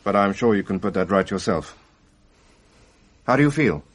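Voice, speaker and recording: An actor playing a calm, matter-of-fact doctor who speaks in a measured, reassuring, slightly wry way in a radio drama. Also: male voice, lowish voice, slightly rough.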